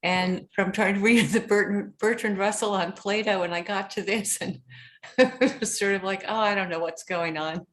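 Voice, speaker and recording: A woman's voice: medium pitch, fairly even intonation, moderate pace, a bit bright. Self-deprecating woman in a group talk. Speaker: reading group member. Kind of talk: casual discussion.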